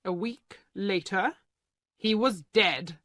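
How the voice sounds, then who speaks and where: A woman voicing a calm, blunt male lawyer who speaks in a flat, matter-of-fact way. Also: mid-range voice, slow pace, no room sound, studio quality. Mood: grave.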